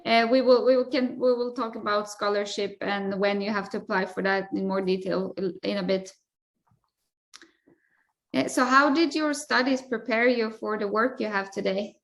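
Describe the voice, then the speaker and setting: A woman's voice: mid-range voice, normal speed. A woman interviewing a guest on a webinar, asking questions with frequent fillers. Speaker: interviewer from university staff.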